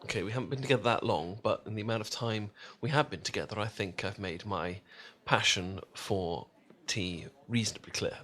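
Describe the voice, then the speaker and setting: A man's voice: lowish voice, a little fast, few pauses, bright, forceful tone. A man playing an affectionate, tea-loving English boyfriend in a romantic roleplay audio, talking in a hesitant, stammering, enthusiastic way with a British accent. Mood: sheepish, affectionate. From England.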